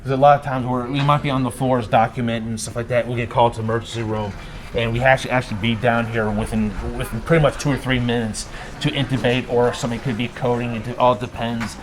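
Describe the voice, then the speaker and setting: A man's voice: medium pitch, level tone, quick pace, background noise. A man working as a respiratory therapist, explaining his work in a plain, casual way with occasional fillers. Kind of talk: casual workplace interview.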